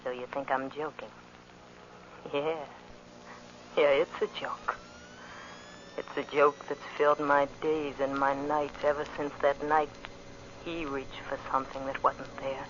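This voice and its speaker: An actor playing a bitter avenger bent on revenge, in an intense, brooding old-time radio monologue. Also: male voice, a little high, level tone, a little slow, pauses often, close to an everyday voice. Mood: cold, bitter. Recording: dull, noisy old radio recording.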